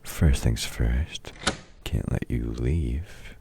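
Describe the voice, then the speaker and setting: An actor playing a domineering, taunting villain in an intimate audio roleplay, gruff and commanding as he mocks a meek listener. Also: drawn-out words, noisy background, heavily acted. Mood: menacing flirtation. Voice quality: very deep, dark, husky voice. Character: domineering, taunting male villain, grudging temporary ally of heroes. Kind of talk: intimate audio roleplay, scripted dramatic acting.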